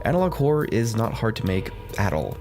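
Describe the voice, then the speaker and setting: A man's voice: fairly low pitch, big pitch swings, fairly quick. A man giving casual, scripted video commentary with a blunt, sarcastic manner and frequent swearing. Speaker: video essay host. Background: background music.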